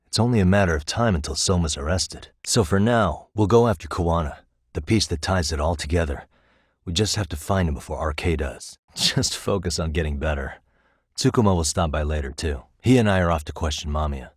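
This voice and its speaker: A calm detective dude from a video game, low voice, super dry sound, methodical and thinking out loud. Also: male voice, breathy edge, no room sound. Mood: reassuring. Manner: measured, methodical, reasoning aloud. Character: calm, analytical male private detective, former lawyer. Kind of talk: English video game voice acting, conversational dialogue.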